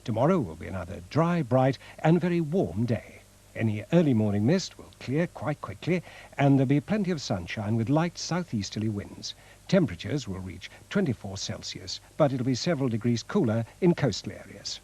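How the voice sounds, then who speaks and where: A man's voice: medium pitch, very animated, normal speed, gravelly, mellow, slightly rough. A British man reading scripted announcements as a television continuity announcer.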